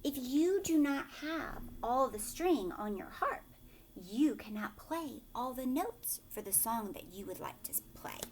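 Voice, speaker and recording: Lady teacher doing storytime for kids, explains stuff as she goes, real slow and super expressive. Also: sits high, a little hiss or hum. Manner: explains words and checks in with young listeners. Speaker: teacher reading aloud to a class.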